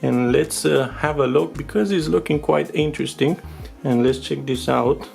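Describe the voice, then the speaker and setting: A man, a native Romanian speaker, giving a casual, unscripted product overview in English as a tech reviewer, with halting, filler-filled delivery. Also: on the high side, gravelly, a little mellow. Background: music with guitar behind the voice.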